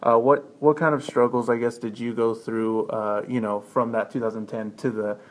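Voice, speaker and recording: A man hosting a podcast interview, asking loose, halting questions and adding brief listening sounds. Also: medium pitch, fairly even intonation. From Texas. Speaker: podcast host.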